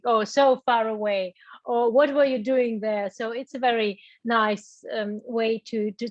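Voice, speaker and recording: A middle-aged woman answering as a guest in an online interview, speaking conversationally with halting, searching phrasing and repeated words. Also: mid-range voice, no room sound. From grew up in embassies, mostly in the Middle East. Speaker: guest, venture capital partner. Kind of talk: conversational interview answers, personal career story. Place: online video meetup. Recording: dull, lo-fi online call audio.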